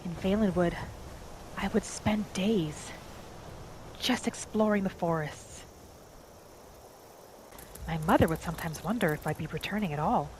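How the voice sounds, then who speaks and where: A woman playing a gentle, homesick wood elf wanderer who thinks aloud softly in a first-person video game roleplay. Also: fairly low pitch, a little slow, long pauses, a little hiss or hum, close to an everyday voice, poor audio. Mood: wistful, nostalgic. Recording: music and rain behind the voice. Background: game music and rain.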